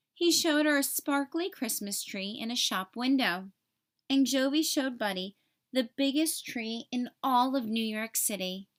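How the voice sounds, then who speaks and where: Bright-voiced woman reading a children's storybook. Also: fairly high pitch. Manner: expressive, clearly phrased for children. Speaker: storybook reader. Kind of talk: scripted children's read-aloud narration.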